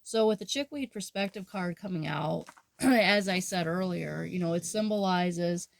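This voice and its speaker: A woman reading tarot in a soft, halting way. She sounds nasal and has a sore throat. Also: fairly low pitch, vocal fry, no room sound. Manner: soft-spoken with frequent fillers and restarts. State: sore throat, under the weather. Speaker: tarot reader. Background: dog snoring.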